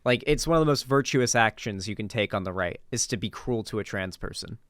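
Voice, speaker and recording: A man's voice: on the low side, expressive, brisk, fairly bright tone, no room sound, studio quality. A man giving casual, unscripted political commentary in a blunt, emphatic way with casual swearing.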